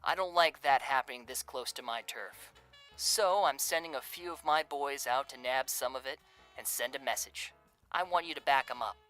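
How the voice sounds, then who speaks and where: An actor playing a slangy, falsely genial and menacing 1930s crime boss in a radio drama. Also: male voice, mid-range voice, normal speed, pushed and bright, soft background music, natural voice. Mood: businesslike, dismissive. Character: smooth, menacing male crime boss of Depression-era New York.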